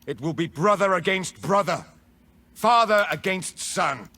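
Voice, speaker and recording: A man playing a vengeful escaped mage prisoner who leads a rebellion, with dramatic, deliberate video game delivery and a rough, husky voice. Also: sits high, expressive, pushed and bright, exaggerated voice. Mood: defiant. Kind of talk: theatrical video game voice lines.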